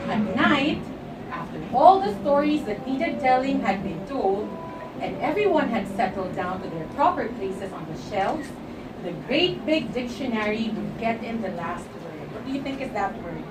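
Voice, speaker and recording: A woman teacher reading a picture book aloud in an expressive, dramatic way, drawing out words for emphasis. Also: a little high, measured pace. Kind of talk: expressive storytime read-aloud. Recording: roomy, distant mic under loud music and crowd. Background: loud music and crowd noise.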